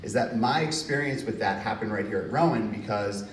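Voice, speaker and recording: A man from New Jersey, an author at a university reading, telling lively anecdotes and acting out quotes with bursts of laughter. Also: fairly low pitch, brisk, a little hiss or hum. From Hudson County, New Jersey. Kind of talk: casual storytelling in a Q&A. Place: university reading event hall. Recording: roomy, distant, faint ambience.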